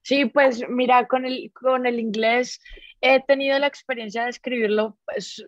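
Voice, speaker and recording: A young Colombian woman musician, a guest in an interview, who talks in a relaxed and upbeat way, filling her speech with "pues". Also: a little high, a little slow, dry recording, highs cut off. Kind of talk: casual interview.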